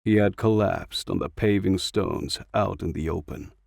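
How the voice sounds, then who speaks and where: Male narrator, scripted storytelling, very slow delivery, gravelly fry, dry close sound. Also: low voice, a little mellow, no room sound. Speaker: audiobook narrator.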